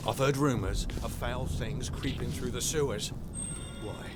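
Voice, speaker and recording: A man's voice: medium pitch, unhurried, close to an everyday voice. An actor playing an uneasy male city guard who talks nervously. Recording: distant, under loud game music.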